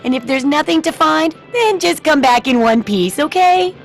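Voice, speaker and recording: A woman's voice: high voice, expressive, normal speed, faint music behind. A woman voicing a cheerful, eccentric shopkeeper and amateur researcher in a video game, with a perky, chatty manner. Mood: chirpy, eager. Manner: perky, chatty, singsong questioning tags.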